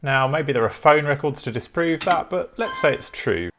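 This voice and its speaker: A man's voice: fairly high pitch, expressive, normal speed, creaky, fairly bright tone, slight background noise. A man with a British accent presenting a tutorial in a methodical, explanatory way.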